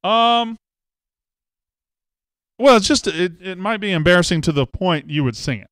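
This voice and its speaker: A man's voice: high-pitched, animated, normal speed, a bit bright, dry recording, clean studio sound. A man hosting a casual live show, chatting and reading viewer comments with self-mocking jokes. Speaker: host. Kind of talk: casual live-stream chat.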